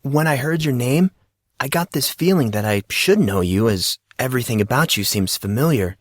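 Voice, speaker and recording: Tentative, earnest high school freshman voiced by a man for a fantasy audiobook, recorded dry. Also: animated, normal speed, no room sound, natural voice. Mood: earnest, unsure. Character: 14-year-old boy, uncertain amnesiac high school freshman. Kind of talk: audiobook character dialogue.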